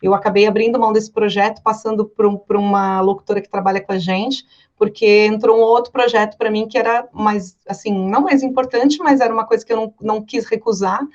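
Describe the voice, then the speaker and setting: A woman's voice: mid-range voice, fairly even intonation, fast talker, a little mellow. A Brazilian woman, a professional voice-over artist, chatting casually and explaining her work from experience. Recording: slightly dull, muffled audio.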